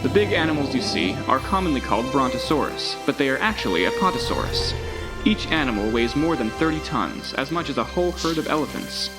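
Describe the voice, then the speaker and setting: Man acting as grand, animated theme park tour narrator. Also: medium pitch, a little fast, over loud music. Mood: grand, informative. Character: recorded tour-guide narrator of a dinosaur park. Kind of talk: scripted documentary-style tour narration.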